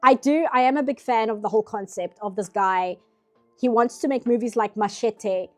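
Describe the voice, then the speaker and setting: A woman's voice: fairly high pitch, normal speed, soft background music. Nasal woman chatting while she paints. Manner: rambling, chatty asides, frequent "like" and "um". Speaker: streamer painting live. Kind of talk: casual chat while drawing.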